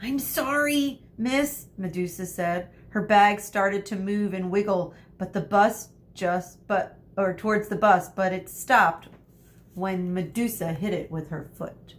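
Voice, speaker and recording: A woman teacher reading a storybook aloud to students, adding explanatory asides in a deliberate way. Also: medium pitch, fairly even intonation.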